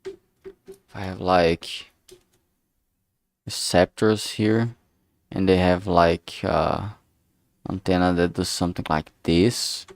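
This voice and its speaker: Slow-talking man with a creaky, lowish voice. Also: steady tone, no room sound. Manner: hesitant, musing, trailing off mid-thought. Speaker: streamer making a visual novel. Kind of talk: casual livestream chat, thinking aloud while drawing.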